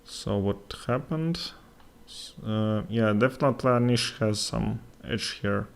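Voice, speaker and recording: A young man from Latvia with a Russian accent, commentating a live chess game in a halting way, with many fillers and pauses. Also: fairly low pitch, vocal fry.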